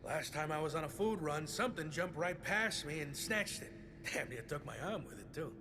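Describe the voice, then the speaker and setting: A man's voice: fairly high pitch, animated, normal speed, big-room sound, background noise, lightly acted. A man playing a rattled vault resident. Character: jumpy male vault resident complaining about rats.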